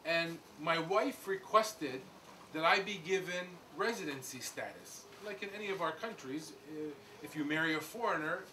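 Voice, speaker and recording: A man's voice: on the high side, pushed and bright. A middle-aged man from the United States tells his life story to a visiting group in a conversational way, explaining step by step and adding asides. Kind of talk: informal talk to a group. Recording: distant mic with audible room noise.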